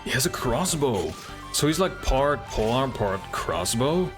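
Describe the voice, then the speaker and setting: A man's voice: mid-range voice, sing-song, a little slow. A man hosting a casual reaction video, thinking aloud in hesitant fragments. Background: game trailer music.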